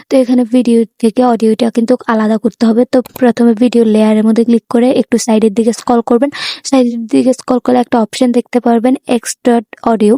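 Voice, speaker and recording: A Bangladeshi-accented woman walks through an app tutorial at a quick clip, her voice dark and mellow and held to a flat, even line. She repeats each step and fills gaps with hesitant sounds, recorded close and dry. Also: fairly high pitch, no room sound. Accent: Bangladeshi Bengali. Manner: repetitive instructions with many filler pauses. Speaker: tech tutorial host.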